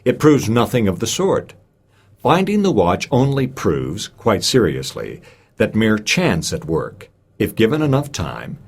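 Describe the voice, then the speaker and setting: Husky, low-voiced man reading with lively pitch. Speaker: reader of a recorded speech. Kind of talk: scripted reading of a scholarly lecture.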